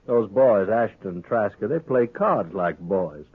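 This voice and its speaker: Sly saloon owner: low husky voice, brash and talkative, Western American accent, vintage radio western. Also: male voice, animated, measured pace, phone-quality sound. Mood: smug, easygoing. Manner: cocky, needling, talkative. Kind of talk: 1950s radio western acting. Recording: thin old radio broadcast recording.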